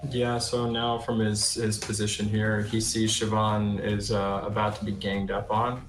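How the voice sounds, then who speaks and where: A man's voice: fairly low pitch, level tone, unhurried. A man playing a tabletop fantasy game, casually narrating what his character does. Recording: roomy sound with music behind.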